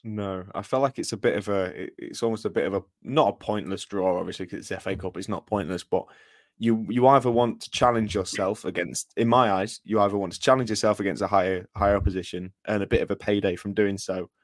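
A man's voice: lowish voice, steady tone, quick pace, no echo, studio quality. A man hosting a casual football podcast, rambling with restarts and fillers. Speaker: podcast host.

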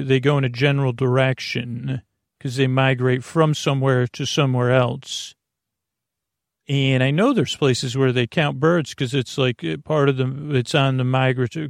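A man with a gravelly, creaky voice hosting a bedtime podcast, rambling haltingly and often trailing off mid-thought. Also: mid-range voice, no room sound, clean studio sound. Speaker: podcast host.

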